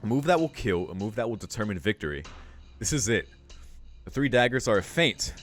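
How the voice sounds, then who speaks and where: A man reading a visual novel's story aloud for a let's play, breaking into casual, excitable reactions with some swearing. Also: on the low side, a little fast. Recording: music behind the voice.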